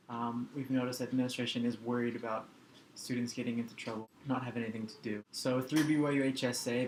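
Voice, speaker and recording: A young man explains a student project in a flat, even voice, measured and pausing with um. The sound is roomy and echoey, with clear background noise. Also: mid-range voice. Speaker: anthropology student. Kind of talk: semi-scripted presentation.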